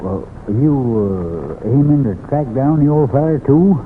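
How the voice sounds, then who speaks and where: A man's voice: medium pitch, very animated, unhurried, a little hiss or hum. A man playing a folksy, boastful old cowboy sidekick in an old radio western, with a crusty Western drawl and blustery homespun talk. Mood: sheepish. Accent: rural American West. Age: older. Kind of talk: 1940s-50s radio western acting. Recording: tinny, narrow old radio recording.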